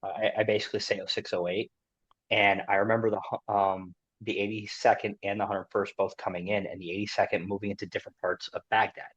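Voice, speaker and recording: A man's voice: on the low side, level tone, brisk, a bit bright, breathy edge. A man in his forties, a veteran guest on a podcast, speaking casually and emphatically with frequent "you know"s. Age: 40s. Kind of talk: casual interview conversation. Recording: dull, muffled lo-fi audio.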